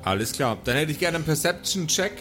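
A man improvising narration as game master of a tabletop audio game, with theatrical delivery and deliberate pauses. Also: a little high, expressive. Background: background music.

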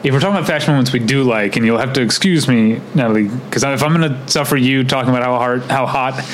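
A man chats as a podcast co-host in a loose, unscripted style. His voice sits at a medium pitch and he often stops to correct himself. There is faint noise behind him. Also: quick pace, poor audio. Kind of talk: casual unscripted podcast chat.

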